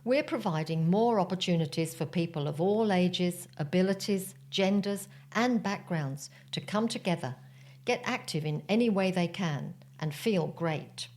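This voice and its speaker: A woman's voice: on the low side, measured pace, darkish tone. A woman reads out a prepared official statement. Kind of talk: reading a prepared quote aloud.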